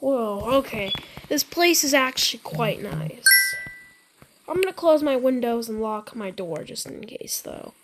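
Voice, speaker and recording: A woman doing casual gameplay commentary in a roleplay, talking to herself in a halting, stammering way. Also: a little high, animated, heavy background noise. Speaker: gamer playing a roleplay scenario.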